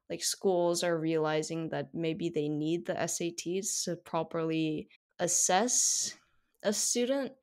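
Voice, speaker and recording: Teenage woman hosting a podcast. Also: low-pitched. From South Korea. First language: Korean. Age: teens. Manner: chatty, many fillers. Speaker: podcast host. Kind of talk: casual solo podcast monologue.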